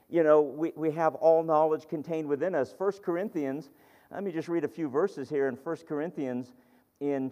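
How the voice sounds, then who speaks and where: A man's voice: on the high side, darkish tone, dull audio. Earnest male preacher in a church hall. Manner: conversational, earnest, with many restarts and fillers. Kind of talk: sermon.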